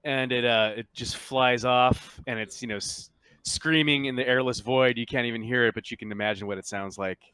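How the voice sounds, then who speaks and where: A man runs a tabletop roleplaying game as game master. His voice is bright and sits in a dry space. He describes events and gives rulings in a casual, conversational way. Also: mid-range voice, fairly even intonation, normal speed, smooth, no echo.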